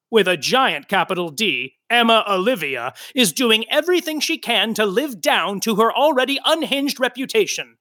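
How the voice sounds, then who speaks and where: An actor playing a wry, gossipy narrator of a backstage comedy, telling it with melodrama. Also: male voice, extremely high pitch, pushed and bright, full character voice. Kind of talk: dramatic scripted narration.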